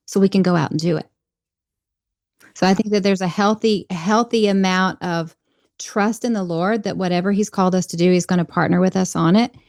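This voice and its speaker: A woman's voice: on the low side, darkish tone, no echo. Earnest woman with a dry close sound. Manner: earnest, reflective, faith-based talk. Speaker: podcast guest, leadership coach. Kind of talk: conversational interview.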